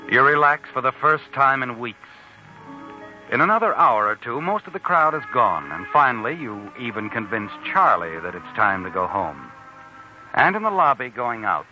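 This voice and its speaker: An actor playing an ominous, insinuating narrator of a 1940s radio suspense drama, speaking straight to the protagonist. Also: male voice, medium pitch, very animated, moderate pace, sharp tone, dull audio. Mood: knowing, ominous. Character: knowing, ominous male narrator addressing the protagonist. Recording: thin old radio recording with music.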